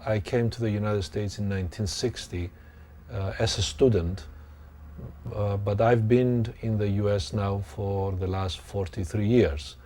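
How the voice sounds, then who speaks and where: A man, a professor thoughtfully recounting his life in an interview, pausing to find words. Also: lowish voice, fairly even intonation, mellow. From Greek background. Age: 60s. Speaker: sociology professor. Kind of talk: interview, reflective personal account.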